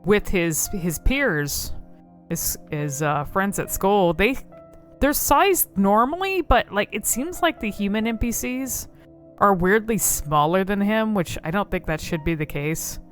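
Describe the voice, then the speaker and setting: A woman's voice: mid-range voice, expressive, a little slow, faint music behind. A woman giving casual game commentary, rambling and thinking aloud as she explores cutscenes.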